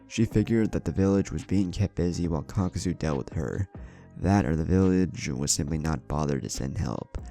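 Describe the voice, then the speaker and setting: A man with an extremely low, creaky voice narrates a scripted fantasy battle story. His tone is dark and mellow, with music playing underneath. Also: normal speed. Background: background music.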